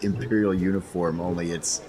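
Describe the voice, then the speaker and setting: A man's voice: low voice, fairly even intonation, normal speed, dark tone. A man improvising casually as a player in a tabletop role-playing game.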